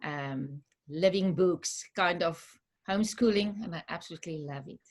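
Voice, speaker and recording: A woman's voice: fairly low pitch, moderate pace. A middle-aged woman with an Afrikaans accent, talking as an interview guest in an earnest, hesitant way with many fillers. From South Africa. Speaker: homeschooling mother, guest. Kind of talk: casual interview talk, switching into Afrikaans.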